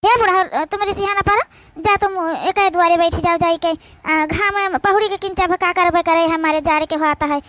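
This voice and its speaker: A woman's voice: very high-pitched, fairly even intonation, moderate pace, a bit bright. A woman voicing brash, indignant village daughters-in-law in an Awadhi cartoon comedy, scolding and arguing in a rustic manner. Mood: complaining.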